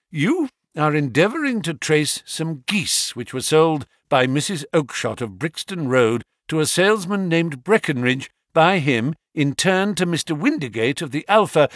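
A shrewd detective speaks in a bland, measured, quietly assured way, as a male reader puts him on. His pitch still moves a lot. The sound is dry and close. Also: no room sound.

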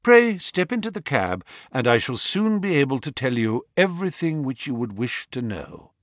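A man voicing a shrewd detective who speaks blandly and calmly. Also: mid-range voice, dry recording, clean studio sound, close to an everyday voice. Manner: bland, quiet, measured.

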